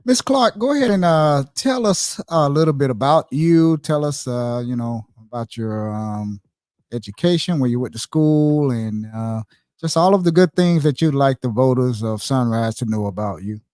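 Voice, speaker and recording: A man's voice: mid-range voice, lively intonation, moderate pace, darkish tone, no room sound, clean studio sound. A man hosting a podcast interview, speaking hesitantly with many fillers and restarts. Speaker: podcast host.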